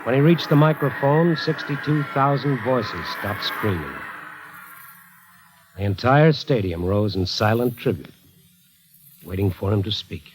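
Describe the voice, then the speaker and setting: A man narrating a 1940s radio drama in a dramatic, measured way. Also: medium pitch, animated, poor audio. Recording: old radio broadcast with music.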